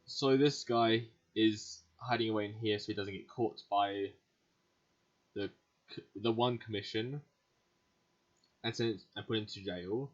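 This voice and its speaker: A woman with a husky voice giving casual, offhand let's play commentary in a low-key way. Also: fairly even intonation, measured pace, pauses often. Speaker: gaming YouTuber. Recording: dull home mic over game music.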